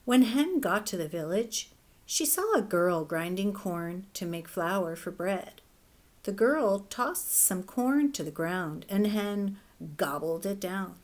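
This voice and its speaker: A woman teacher reading a children's fable aloud in a gentle, expressive storybook manner. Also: medium pitch, slow. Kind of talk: children's read-aloud narration.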